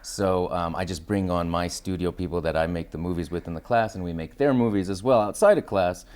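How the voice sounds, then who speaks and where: A man with a distinctly deep voice talks as a guest in an interview. His style is casual and enthusiastic, with phrases strung loosely together as he explains things. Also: brisk, darkish tone. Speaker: interview guest, acting studio founder and teacher.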